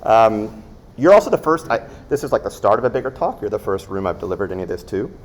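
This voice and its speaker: A man's voice: lowish voice, big pitch swings, quick pace. A man giving a design lecture to a room, talking thoughtfully with ums and asides. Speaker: guest speaker, creative director. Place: lecture room without a microphone.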